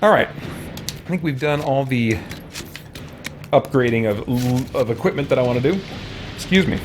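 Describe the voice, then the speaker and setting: A man's voice: mid-range voice, animated, a little slow, background noise. A man doing casual live gameplay commentary, thinking aloud and muttering to himself as he plays. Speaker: game streamer.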